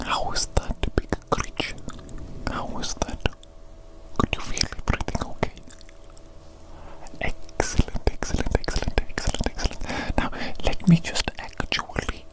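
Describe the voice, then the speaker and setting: A woman whispering softly and breathily in an ASMR medical roleplay as a doctor, soothing and repeating short phrases. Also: measured pace, rough recording. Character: evil doctor tending a big creature.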